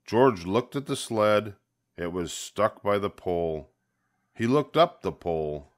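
An older man narrates a children's picture book in a low voice. He goes slowly and expressively, drawing out the key words. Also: animated. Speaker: storybook reader.

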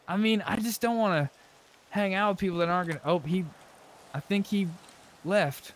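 Higher-voiced man bantering in a game. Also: moderate pace, slight background noise. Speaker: gamer, roleplay streamer. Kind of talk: casual in-game roleplay banter.

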